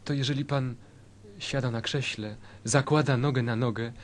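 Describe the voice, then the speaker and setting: A man's voice: mid-range voice, fairly even intonation, moderate pace, natural voice. A man playing a wry, politely fussy tailor who narrates a comic radio sketch. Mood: polite, chuckling. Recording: loud music behind narration.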